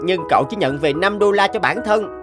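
A man's voice: sits high, a little fast, lightly acted. Cartoon friend, male actor: principled young man, sincere, plain-spoken, well articulated, music bed behind. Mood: concerned, advising. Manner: earnest, frank, articulate. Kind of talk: cartoon dubbing.

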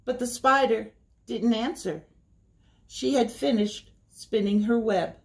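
Slow-paced woman reading stories to children. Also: on the high side, gravelly. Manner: slow, deliberate, sing-song repetition for young listeners. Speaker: children's librarian, story time host. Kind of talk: storytelling for young children, reading aloud. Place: small indoor room by a window.

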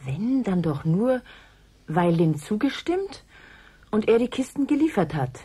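A woman's voice: mid-range voice, sing-song, a little slow, warm tone. A woman acting as a sober, sceptical amateur investigator in a German radio play, matter-of-fact and dryly ironic. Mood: probing.

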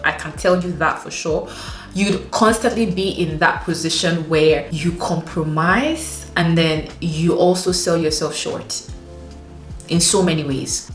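A young woman in her twenties talks straight to camera in a frank, emphatic way, with a slightly low voice. The room around her echoes, and faint music plays underneath. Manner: frank and emphatic, addresses viewers as sis, laughs easily. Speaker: lifestyle vlogger. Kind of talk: candid direct-to-camera advice. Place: home room indoors. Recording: roomy echo with faint music.

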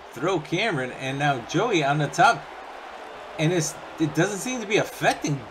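A young man doing play-by-play commentary over a wrestling video game, chatty and casual between calls and getting excited on the big moves. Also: fairly high pitch, noisy background. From Puerto Rican background. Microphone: home microphone, voice-over on game footage.

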